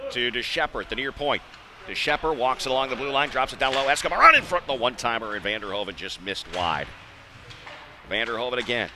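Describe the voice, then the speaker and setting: An American man doing live radio-style play-by-play hockey commentary, measured in routine play and excitable on big plays. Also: mid-range voice. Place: indoor ice arena. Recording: noisy live rink broadcast.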